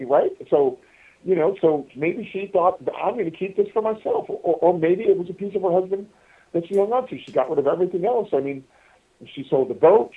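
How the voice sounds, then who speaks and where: A man telling stories in a casual, rambling way, halting and repeating himself as he addresses his listeners over a telephone. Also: sits high, recorded from a distance. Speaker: podcast guest calling from prison. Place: prison phone call. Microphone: telephone handset. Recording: tinny, band-limited prison phone line.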